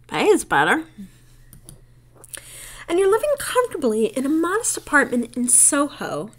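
A woman's voice: on the high side, breathy edge. A young woman with a New York accent chatting casually and laughing often as she plays through and reads a story game demo. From New York City. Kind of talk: casual game walkthrough commentary.

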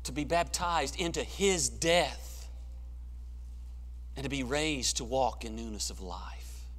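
A middle-aged man preaching a sermon in a church sanctuary, swelling to emphatic peaks and then dropping quiet and low. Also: slow. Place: church sanctuary, large room. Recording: long church reverb.

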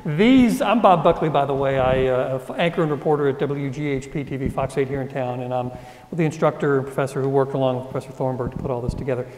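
A man's voice: medium pitch, fast talker, a little mellow, slight background noise. A man, an instructor and TV anchor, moderating a live student panel on a studio stage in a friendly, conversational way. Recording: long studio reverb.

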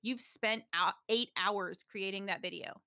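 A woman co-hosting a casual podcast chat, talking fast with lots of fillers and frequent laughs. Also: medium pitch, pushed and bright, dry recording, dull audio. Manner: chatty, laughs often, many fillers. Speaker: podcast co-host, streamer.

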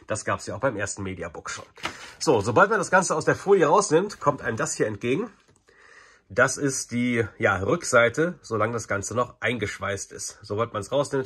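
Casting: male reviewer, forties, a little husky, informal and enthusiastic fan chatter. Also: medium pitch, a bit bright. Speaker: video reviewer. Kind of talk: casual unboxing review to camera.

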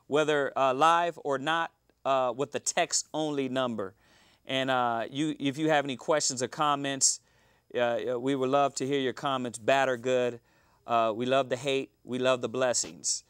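Man, thirties, talk show host, smooth clear voice, dry studio sound, rambling with many fillers. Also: a little high, fairly bright tone, no room sound. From Houston, Texas. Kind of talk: live cable-access talk show. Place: small TV studio.

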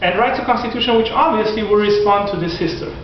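Forties male lecturer, animated and restarting often, recorded far off with a dull sound. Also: high voice, fast, a little hiss or hum. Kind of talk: classroom lecture. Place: classroom in front of whiteboard. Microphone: far camera. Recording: echoey classroom from far off, dull lo-fi.

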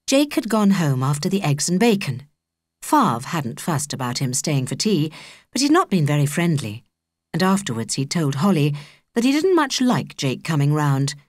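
Female British audiobook reader for children's fiction; expressive, varied pitch in a dry recording. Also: normal speed, dry recording. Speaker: audiobook narrator. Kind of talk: scripted children's story narration.

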